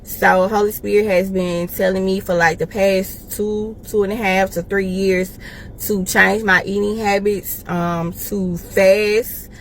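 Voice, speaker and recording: A woman in her thirties with an American accent, speaking informally and deliberately in a casual, confessional vlog, pausing for emphasis. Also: mid-range voice, flat delivery, a little hiss or hum. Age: 30s. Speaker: life coach and evangelist making a personal video.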